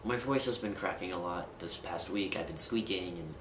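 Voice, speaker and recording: Young man, very low voice, candid rambling vlogger, roomy distant home-camera sound with noise. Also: moderate pace. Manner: candid, rambling with fillers and restarts. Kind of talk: casual personal vlog. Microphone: camera mic at a distance.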